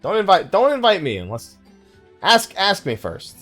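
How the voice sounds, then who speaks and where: A man giving casual let's play commentary, rambling and thinking out loud with mild exasperation. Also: high-pitched, wildly swooping pitch, moderate pace. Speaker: gaming video host. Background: game music.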